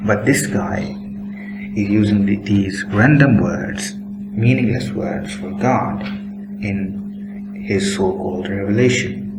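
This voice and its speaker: A man giving a critical commentary on book excerpts while showing screenshots, pacing himself deliberately and opening most sentences with "now". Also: lowish voice, very noisy. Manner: critical, disapproving tone. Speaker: religious commentator.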